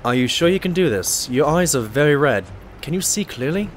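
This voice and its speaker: An actor playing a modest, loyal pony doctor who narrates and talks in an audio drama in an earnest, expressive way. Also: male voice, on the high side, brisk, slight background noise, lightly acted. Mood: concerned, inquiring.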